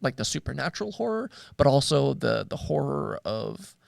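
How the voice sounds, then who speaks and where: Thoughtful man with a creaky voice. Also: medium pitch, very animated, normal speed, dry recording, clean studio sound. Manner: hesitant, thoughtful, searching for words. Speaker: co-host of a media show. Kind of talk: casual discussion.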